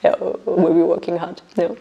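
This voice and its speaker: A woman's voice: lowish voice, little pitch movement, normal speed, vocal fry, warm tone, slightly rough, highs cut off. A young woman, a bootcamp student, talking candidly and a little tentatively about herself in a documentary interview. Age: young adult.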